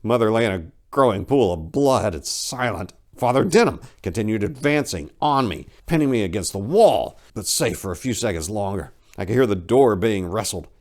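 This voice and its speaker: Animated man narrating horror, theatrical delivery. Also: lowish voice, normal speed, hardly any pauses. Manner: expressive, theatrical. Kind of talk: dramatic first-person horror story narration.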